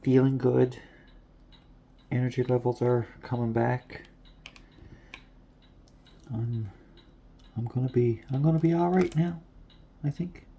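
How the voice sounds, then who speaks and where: A man's voice: mid-range voice, fairly even intonation, slow pace, gravelly, long pauses, warm tone, muffled recording, slight background noise. A congested man chatting casually and rambling, repeating himself, at home at night. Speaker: craft vlogger. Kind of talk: casual chat to camera. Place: home room at night, lamp on.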